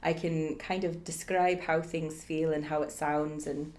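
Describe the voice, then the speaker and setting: Female accent coach, slightly low register, put-on Irish accent, halting explanatory delivery, informal lesson. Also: level tone, darkish tone. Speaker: accent coach giving a demonstration.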